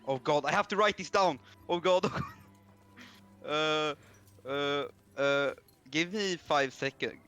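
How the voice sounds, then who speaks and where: A man playing a tabletop game, talking casually and getting flustered and excited. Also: a little high, takes it slowly, pauses often, clean tone, soft background music. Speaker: player. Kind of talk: casual chat.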